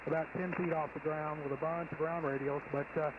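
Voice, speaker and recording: A man's voice: fairly high pitch, level tone, fairly quick. A man talking as a ham radio operator, methodical, spelling things out in phonetic letters. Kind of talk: radio conversation. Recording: dull radio signal with loud static.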